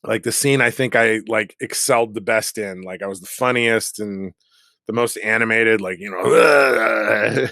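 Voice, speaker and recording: Brief: male actor guest, chatty and animated, filler-heavy, laughs a lot; dead room. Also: fairly low pitch, measured pace, creaky, no room sound. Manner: animated, laughing often, many filler words. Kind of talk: casual chat.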